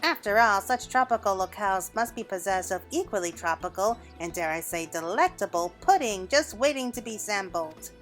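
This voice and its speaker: A woman's voice: medium pitch, animated, normal speed, few pauses, a bit bright, soft background music, close to an everyday voice. A woman voicing a small, eager, theatrical rabbit creature in a video game, speaking in a florid, exclamatory way. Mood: eager, chatty.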